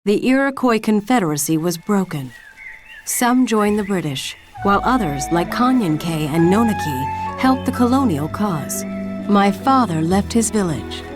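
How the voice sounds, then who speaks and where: An actress playing a solemn daughter who narrates her father's war history in measured, scripted storytelling for a game. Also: mid-range voice, natural voice. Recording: loud music under the narration.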